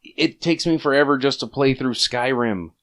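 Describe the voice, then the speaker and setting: A man's voice: mid-range voice, normal speed, fairly bright tone. A man co-hosting a casual gaming podcast, grumbling and ranting with profanity. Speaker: podcast co-host.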